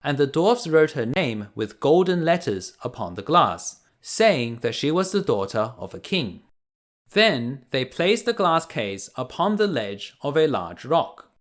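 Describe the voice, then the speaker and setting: An unhurried man narrates a children's bedtime fairy tale. His delivery is deliberate and expressive, with lively intonation and a mid-range pitch. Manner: deliberate, expressive read-aloud. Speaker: storyteller reading to children.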